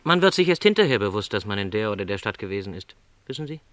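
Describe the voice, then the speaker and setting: Wistful middle-aged traveller, lively pitch swings. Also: male voice, fast, no room sound. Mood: wistful, musing. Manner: reflective, rhapsodic storytelling in careful, literary phrasing. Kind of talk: literary radio drama dialogue.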